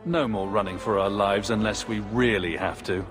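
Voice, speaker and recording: A man playing a low-voiced, sarcastic young hero. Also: fairly even intonation, moderate pace, natural voice. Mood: dry. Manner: dry, sarcastic. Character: wisecracking young male refugee hero. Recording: game audio with reverb and music.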